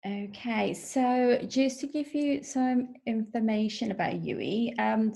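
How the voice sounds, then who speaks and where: University webinar presenter, woman from Peru, dark gravelly tone, measured. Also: mid-range voice. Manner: measured, frequent um fillers. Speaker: international officer. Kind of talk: online information session presentation. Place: home or office in the evening. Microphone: video call.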